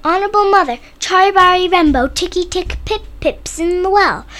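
A woman's voice: very high-pitched, normal speed, bright, forceful tone, pitched above the performer's own voice. A woman voicing a frantic little storybook boy, pleading breathlessly for help.

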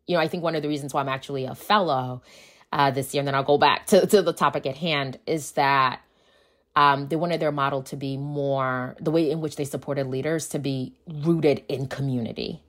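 A woman's voice: extremely low pitch, fairly even intonation, a little fast. A woman, a native Akan speaker, talking casually in an interview as a school founder and acting out dialogue as she tells her story.

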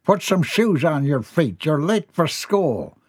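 A man's voice: a little high, sing-song, slow, slightly rough, pitched above the performer's own voice, heavily acted. A man playing a blunt, bossy Southern tobacco farmer, middle-aged, speaking in a raised voice. He orders his family around in a storybook reading.

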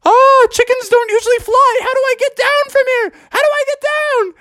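A woman doing a squeaky panicking chicken. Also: fairly even intonation, moderate pace, a bit bright, dry recording, studio quality. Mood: panicked.

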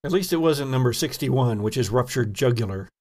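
A man's voice: medium pitch, animated, a little fast, darkish tone. A man running a tabletop role-playing game as game master, describing scenes and prompting the players. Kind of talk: tabletop game narration and rules talk.

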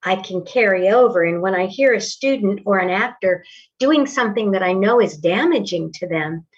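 Woman, 60s, voice teacher, measured and articulate, muffled audio. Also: mid-range voice, expressive, distant mic. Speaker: voice and acting teacher. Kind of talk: casual interview conversation. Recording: dull lo-fi audio, slightly off-mic.

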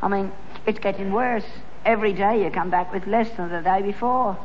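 A man's voice: sits high, steady tone, fairly quick, long reverb tail, lo-fi sound, lightly acted. An actor playing a grumpy old rag-and-bone man, nagging his son in a 1960s radio sitcom, on a hissy old broadcast. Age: older.

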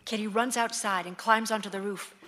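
A woman's voice: mid-range voice, steady tone, fairly quick, bright, forceful tone, slight background noise, close to an everyday voice. A woman acting as the matter-of-fact narrator who reads stage directions in a radio play. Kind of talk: scripted narration. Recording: long hall reverb.

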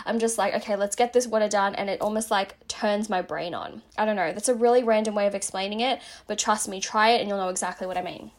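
A woman's voice: medium pitch, fast, few pauses. A woman hosting a casual self-help podcast, chatty and rambling with lots of fillers, saying she is slightly losing her voice. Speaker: podcast host.

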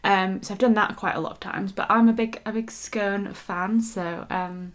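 A young woman co-hosts a casual podcast, her voice croaky after coughing. She rambles along with plenty of ums and little laughs. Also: mid-range voice, steady tone, normal speed. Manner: rambling, full of fillers and laughs. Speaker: podcast co-host and editor. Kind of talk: casual chat.

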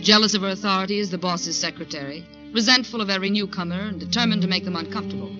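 Actress as sharp wary career woman, American accent, direct and challenging, radio suspense style, muffled vintage recording. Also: mid-range voice, rapid-fire, close to an everyday voice. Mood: confident, reflective. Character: confident, ambitious young woman art director, sharp and wary. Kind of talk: 1940s radio suspense acting and first-person narration. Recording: dull old radio recording, music underneath.

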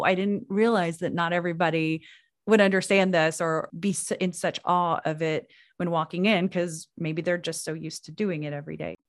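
A woman's voice: fairly low pitch, no echo, clean studio sound. A woman hosting a podcast interview, affirming and encouraging her guests. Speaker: podcast host.

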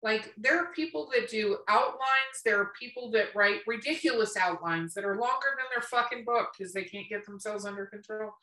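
A woman's voice: mid-range voice, moderate pace, hardly any pauses, sharp tone, recorded from a distance. A woman podcast host chatting casually on an online call, blunt and opinionated, swearing freely. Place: room, online video call. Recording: echoey room, away from the mic.

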